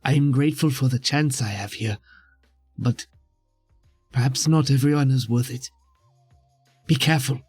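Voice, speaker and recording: A man voicing a weary, defeated captive in a tabletop game, speaking in a measured, formal, solemn way. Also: mid-range voice, darkish tone, breathy edge, no room sound, faint music behind, close to an everyday voice. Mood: solemn warning.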